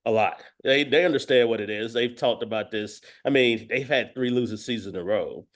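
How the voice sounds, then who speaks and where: A man's voice: medium pitch, brisk. A man talking as a guest sports analyst, giving conversational football commentary sprinkled with verbal tics like "you know".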